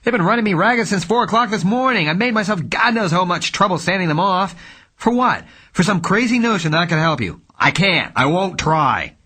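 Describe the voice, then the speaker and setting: A man reading an audiobook, voicing an impatient private detective who speaks curtly and irritably, loud with anger. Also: medium pitch, fast talker, husky. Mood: angry. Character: impatient hard-boiled male private detective.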